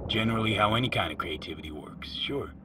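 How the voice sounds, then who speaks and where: A cocky young male street mercenary played by an actor in a cinematic video game, with a lowish voice. He speaks in wry, clipped slang over clear ambient water noise. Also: expressive, quick pace, close to an everyday voice. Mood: casual.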